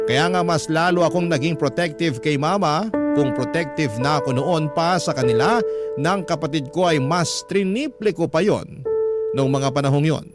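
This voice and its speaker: An earnest, protective son voiced by a man narrates in dramatic first person. His fairly high voice is emotive and rides over very prominent music. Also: close to an everyday voice.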